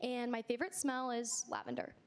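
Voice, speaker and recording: A woman's voice: fairly high pitch, very animated, brisk. A young woman, a college sophomore, introducing herself cheerfully. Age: young adult. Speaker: student senator.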